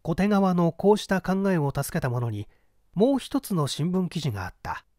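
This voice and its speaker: A man reading literary fiction aloud as a scripted narration, with measured, deliberate phrasing. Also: sits high, sing-song, warm tone, no echo, clean studio sound. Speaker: audiobook reader, narrator of classic mystery fiction.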